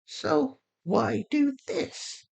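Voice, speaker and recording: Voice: high-pitched, very slow, vocal fry, a little mellow, husky, pitched above the performer's own voice, exaggerated voice. A performer voicing a defiant, pleading young woman held captive in an audio drama, urgent and argumentative. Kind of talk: acted audio fiction.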